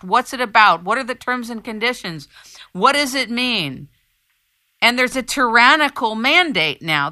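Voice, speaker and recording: Bright, emphatic woman interviewer. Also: fairly high pitch. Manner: emphatic, rhetorical, builds repeated lists. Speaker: interview show host. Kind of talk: probing interview questioning.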